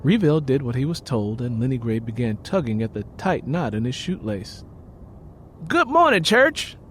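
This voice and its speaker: Expressive male storyteller with lively pitch. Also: moderate pace, slight background noise. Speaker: audiobook narrator. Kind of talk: scripted dramatic story narration.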